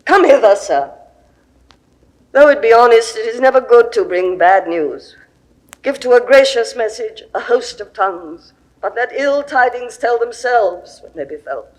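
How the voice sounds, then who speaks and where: A high-pitched, imperious queen. She is lovesick and volatile, speaking classical verse with grand theatrical force, as in a 1960s audio drama. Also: lively intonation, measured pace, low-quality recording. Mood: wounded, brooding.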